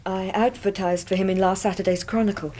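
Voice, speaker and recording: A woman's voice: mid-range voice, steady tone, brisk, music in the background, lightly acted. An actress playing a devoted, anxious young Victorian lady with an English accent, earnest and polite in a radio drama. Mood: polite recounting. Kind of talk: period radio drama acting.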